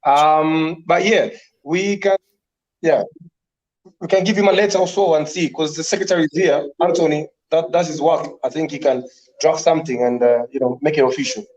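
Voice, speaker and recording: A man's voice: fairly high pitch, moderate pace. Easygoing man on a muffled call. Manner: relaxed, many "you know" fillers. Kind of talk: casual committee call. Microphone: online call. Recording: dull lo-fi call audio.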